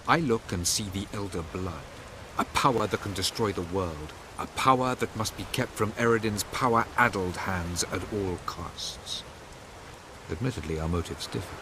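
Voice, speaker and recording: Low-voiced, haughty elven sage. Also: male voice, background noise. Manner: formal, imperious, measured. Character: haughty, calculating old male elf sage. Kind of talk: dramatic video game dialogue.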